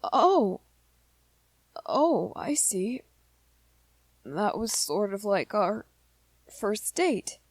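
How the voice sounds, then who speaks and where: A woman acts out a gentle young vampire boyfriend for an ASMR roleplay. He speaks very slowly and softly, hesitating and stammering as he anxiously apologizes. The sound is close and dry. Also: mid-range voice, very animated, no echo, full character voice. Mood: bashful, fond. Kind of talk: ASMR roleplay, scripted one-sided dialogue to listener.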